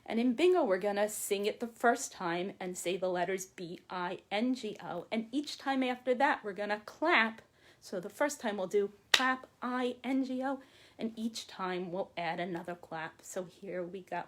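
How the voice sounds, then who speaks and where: A woman in her forties, a children's librarian reading aloud to young children with expressive, deliberate phrasing. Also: medium pitch. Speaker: children's librarian hosting storytime. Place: small room at home or office, by window. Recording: clearly noisy home video with clicks.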